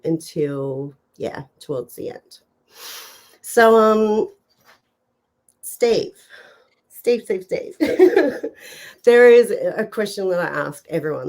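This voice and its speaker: Host type: a woman with a stuffed-up voice from a cold, a touch low for her range, unhurried, rambling, filler-heavy live-chat manner. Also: a little mellow. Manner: chatty, rambling, many fillers and laughs. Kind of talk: casual live-stream chat.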